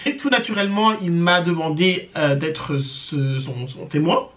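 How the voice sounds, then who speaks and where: A woman's voice: fairly low pitch, lively intonation, unhurried. Woman, casual storyteller to camera; hesitant, filler-heavy, conversational. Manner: hesitant, many fillers and restarts; chatty, addresses viewers directly. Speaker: storytime vlogger.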